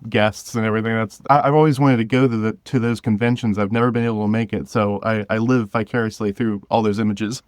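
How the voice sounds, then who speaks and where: A man chatting casually as an interview guest, halting as he searches for words. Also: lowish voice, steady tone, dry recording, studio quality. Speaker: podcast guest, writer and creator.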